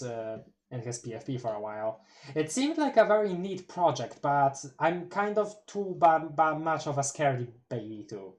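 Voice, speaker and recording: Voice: medium pitch, a little mellow. A streamer from Poland with a light Polish accent and Polish as first language, chatting casually on a live stream while reading a webcomic aloud, giggling often and stumbling over long words.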